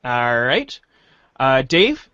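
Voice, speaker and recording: Man, podcast host and narrator, unhurried, animated, slightly high voice, dry lo-fi sound. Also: smooth, no echo. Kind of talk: casual hosting and read-aloud narration.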